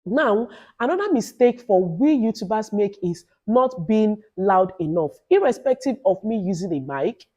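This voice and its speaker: A Nigerian woman with a loud voice and a Nigerian accent gives informal advice as a vlogger, in an emphatic, instructive way with repeated phrases. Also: medium pitch, lively intonation, moderate pace, few pauses, husky. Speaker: YouTuber giving advice to new creators. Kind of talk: informal advice vlog with Nigerian Pidgin asides.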